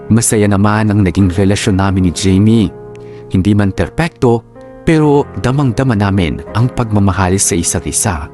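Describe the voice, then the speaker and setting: A male actor voices a devoted Filipino boyfriend narrating a radio drama. His voice is low, with a sincere, reflective delivery. Soft guitar music plays underneath. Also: normal speed, natural voice.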